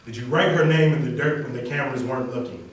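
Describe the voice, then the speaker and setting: A man's voice: mid-range voice, quick pace. A man speaking as a wedding reader, introducing and reciting poetry to an audience. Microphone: distant from speaker. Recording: echoey room, distant mic, faint noise.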